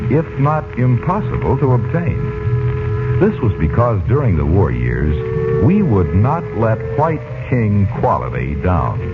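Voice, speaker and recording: A fairly low, lively American man's voice delivers scripted 1940s radio announcements with theatrical emphasis. The sound is tinny like a phone line, with loud music.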